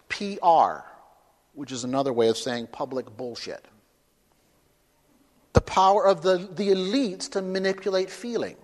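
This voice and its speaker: A man lectures to an audience in an emphatic, driving way, pushing his points to loud peaks. His voice sits a little high for a man. The sound rings with the long reverb of a large hall. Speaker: speaker at a talk. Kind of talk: public lecture with questions.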